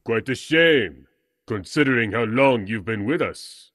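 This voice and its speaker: Courtly, husky corporate villain. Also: male voice, medium pitch, lively intonation, a little slow, a bit bright, no echo, clean studio sound. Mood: politely menacing. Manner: formal, courtly, politely evasive. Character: smooth-talking, menacing male corporate client representative. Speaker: actor. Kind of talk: audio drama acting.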